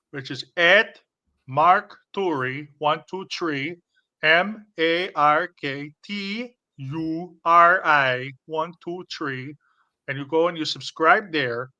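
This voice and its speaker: A bragging, shady middle-aged businessman, as a man puts him on for a comedy stream. He rambles through his schemes in a Chicago accent, stumbling over fillers. His voice is bright and forceful and sits a little high. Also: heavily acted. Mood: boastful. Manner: rambling, boastful, full of fillers. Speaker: stream co-host.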